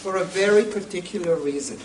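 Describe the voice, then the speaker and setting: An older woman gives a public lecture, speaking emphatically and with rhetorical questions. She is picked up from some distance in an echoey meeting room, with a little background noise. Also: fairly low pitch. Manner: deliberate, emphatic, rhetorical questions, addresses listeners directly. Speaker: lecturer, museum educator. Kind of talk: public lecture to a seated group. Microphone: camera a few metres away. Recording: roomy, distant camera microphone with noise.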